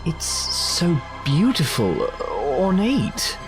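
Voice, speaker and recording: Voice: mid-range voice, wildly swooping pitch, drawn-out words, music in the background, heavily acted. A performer in an audio drama playing an earnest, frank commoner of little means. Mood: wistful.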